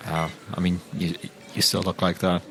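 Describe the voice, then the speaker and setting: A man's voice: low voice, little pitch movement, normal speed, slight background noise, natural voice. A man playing a tactful, dry investigator in improvised audio roleplay, hesitant and understated in a conversational way. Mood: complimentary.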